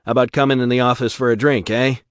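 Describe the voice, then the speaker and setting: A man's voice: medium pitch, flat delivery, quick pace, no room sound, clean studio sound, pitched above the performer's own voice, natural voice. A man doing a smug sneering racketeer.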